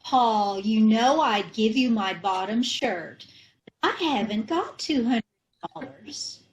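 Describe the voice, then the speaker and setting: A giddy, high-voiced housewife, played by a woman, who twists every saying without noticing. She swings her pitch around in an unhurried, sing-song way, straight out of 1930s radio comedy. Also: expressive, rough recording. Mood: chirpy. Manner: malapropisms, chirpy and sure of herself. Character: scatterbrained young wife mangling her words. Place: home over a video call.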